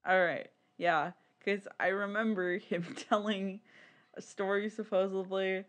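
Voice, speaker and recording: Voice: medium pitch, little pitch movement, measured pace, a bit bright. A podcast co-host chatting casually in a rambling, candid, giggly way.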